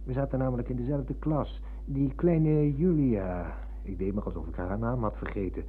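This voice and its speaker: An actor playing a polite, gently teasing middle-aged former pupil in a Dutch radio play, telling things in a measured way. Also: male voice, mid-range voice, slight background noise, natural voice. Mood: teasing, storytelling. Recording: muffled lo-fi old radio recording.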